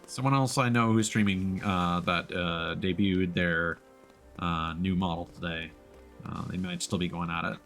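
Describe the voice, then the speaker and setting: A man's voice: deep voice, steady tone, a little slow, fairly bright tone. A man doing casual live video game commentary, rambling and thinking aloud as he plays. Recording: game music behind the voice. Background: video game music.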